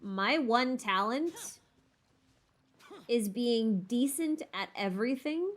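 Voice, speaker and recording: A woman's voice: on the high side, expressive, slow pace. A woman hosting a casual live Q&A stream while gaming, chatting in a playful, self-deprecating, rambling way with muttered asides.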